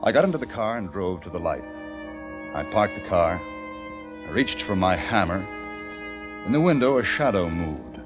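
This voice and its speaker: An actor playing a haunted, educated young American survivor, narrating intensely in the theatrical style of old-time radio drama. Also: male voice, deep voice, sing-song, moderate pace, pauses often, muffled recording. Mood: tense, hushed. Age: young adult. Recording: vintage radio recording with music behind.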